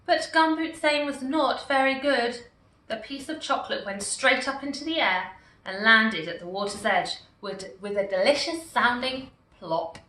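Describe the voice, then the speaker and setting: Woman reading a picture book aloud, slow and animated, bright voice, echoey room far from mic. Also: a little high. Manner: slow, expressive, warmly animated. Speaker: storyteller.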